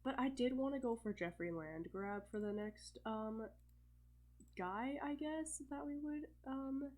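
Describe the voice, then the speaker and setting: A woman's voice: a little high, a little slow. Young woman w a stuffy cold chatting thru her sims game, lots of ums and little laughs. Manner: chatty, thinking aloud with fillers and soft laughs. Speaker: let's play host. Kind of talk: casual gameplay commentary.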